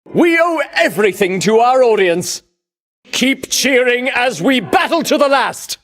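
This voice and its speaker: Vainglorious male game champion: scornful, grandiose, slow declamatory delivery with sweeping, animated intonation. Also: extremely high pitch, heavily acted. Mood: exultant, proud. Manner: grandiose, declamatory, archaic heroic diction. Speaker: voice actor. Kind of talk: theatrical video game battle lines.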